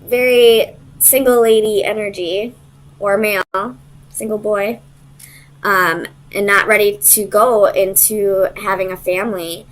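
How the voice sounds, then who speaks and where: A woman's voice: medium pitch, fairly even intonation, a little slow, poor audio. A woman giving a casual, conversational tarot reading, hesitant as she thinks aloud and searches for her words. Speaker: tarot reader.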